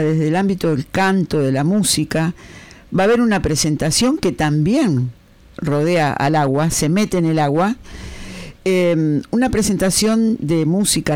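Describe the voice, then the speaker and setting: A man's voice: high-pitched, darkish tone, no room sound. A man hosting a radio interview, introducing his guest with enthusiasm. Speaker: radio host.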